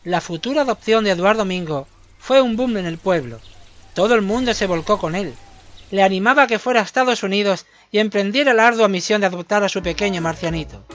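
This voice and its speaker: A man's voice: sits high, a little fast. A man from reading scripted narration for a comic radio serial. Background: music.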